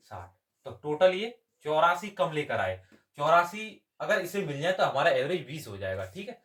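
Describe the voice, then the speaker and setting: A man's voice: fairly high pitch, fairly bright tone. A man teaching step by step as an exam maths coach, asking questions and answering them himself. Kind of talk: step-by-step lesson.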